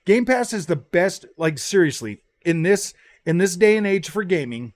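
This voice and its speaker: A man's voice: a little high, no room sound. A man giving casual, opinionated gaming commentary, slangy and often repeating and restarting his phrases. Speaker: gaming YouTuber.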